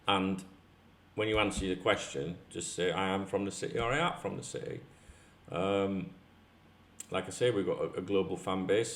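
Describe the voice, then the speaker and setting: A middle-aged man from North Yorkshire with a Northern English accent, talking casually and bluntly to camera as a YouTube host, swearing freely. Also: fairly low pitch. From North Yorkshire, England. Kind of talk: casual opinionated talk to camera. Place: large tiled-floor room at home, indoors. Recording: echoey room.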